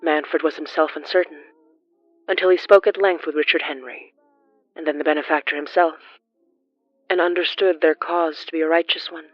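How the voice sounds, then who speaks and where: Horror fiction narrator, mid-range voice, formal and measured, slightly dull dry recording. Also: medium pitch, fairly even intonation, no room sound, soft background music.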